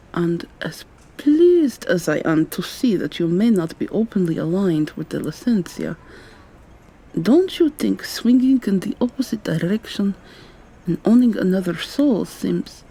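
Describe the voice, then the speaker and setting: A woman playing an articulate, probing young female vampire student in an ASMR roleplay, soft-spoken and questioning, with noise in the recording. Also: lowish voice, husky, heavily acted, rough recording. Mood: curious, skeptical. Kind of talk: ASMR roleplay, intimate conversational acting.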